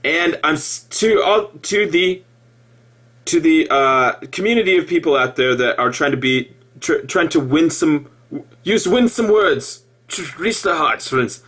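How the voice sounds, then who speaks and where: Animated man with a higher, husky voice. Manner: halting, repetitive, with emphatic outbursts. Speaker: former Jehovah's Witness video blogger. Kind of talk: impassioned personal monologue to viewers.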